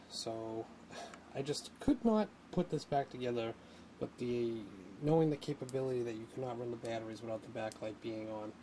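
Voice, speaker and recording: A man's voice: mid-range voice, background noise. A man thinking aloud in a casual, unscripted how-to narration, like a hobbyist at his workbench. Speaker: hobbyist host demonstrating a radio modification. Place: indoor home workshop, at a workbench.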